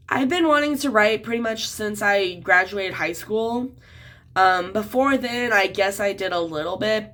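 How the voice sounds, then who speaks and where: A woman's voice: fairly low pitch, a bit bright. Chatty young woman, a little sick. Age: 20s. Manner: chatty, many "um" and "like" fillers. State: sick, voice a bit off. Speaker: children's book author. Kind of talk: casual talk to camera.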